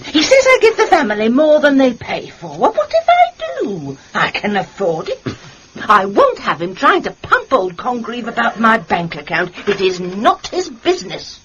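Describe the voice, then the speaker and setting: An actress with an English accent playing a chatty, fussy, warm-hearted middle-aged theatrical landlady aunt in a British radio drama. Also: a little high, wildly swooping pitch, normal speed. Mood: indignant, wound up. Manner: gushing, scatterbrained, endearments and exclamations. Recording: muffled radio recording with background noise.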